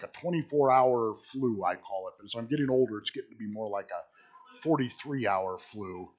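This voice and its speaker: Hoarse middle-aged guy pastor, husky scratchy voice, chatty and jokey teaching sunday school in a little church. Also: mid-range voice. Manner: casual, joking, with asides and restarts. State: hoarse from illness. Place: small church.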